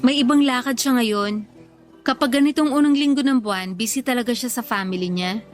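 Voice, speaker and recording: A woman's voice: on the high side, fairly quick, faint music behind, close to an everyday voice. An actress playing a coy, defensive young woman in love, in radio drama dialogue. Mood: coy, friendly.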